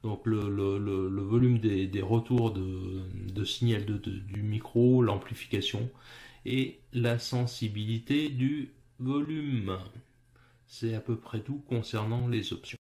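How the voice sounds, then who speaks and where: A man's voice: fairly low pitch, fairly even intonation, slow pace, mellow. A man with a French accent casually walking the viewer through a mobile app's settings, speaking informally with frequent hesitations. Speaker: app tutorial presenter. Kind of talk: casual screen walkthrough.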